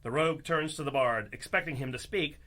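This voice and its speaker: An actor playing the storytelling narrator of a comic fantasy radio play, theatrical and descriptive. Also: male voice, on the high side, a little fast, bright, forceful tone.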